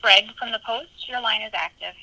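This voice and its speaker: Female call operator, highish and very bright, brief scripted announcements on a dry phone line. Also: normal speed, no room sound. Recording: dry telephone conference line.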